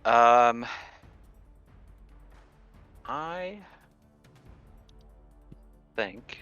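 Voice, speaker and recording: A slow-paced man with a bright-edged voice plays a tabletop game. He talks casually, hesitant and wry, through slightly dull, lo-fi chat audio. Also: mid-range voice, animated, faint music behind. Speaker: tabletop roleplaying game player.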